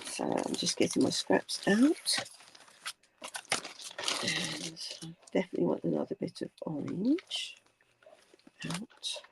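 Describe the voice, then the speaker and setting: An older woman with a croaky voice, chatting casually through a craft tutorial, rambling with many ums and dropping to a whisper while she works. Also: lowish voice, very animated, slow pace, pauses often, heavy background noise. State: croaky from cold weather. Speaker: crafting channel host.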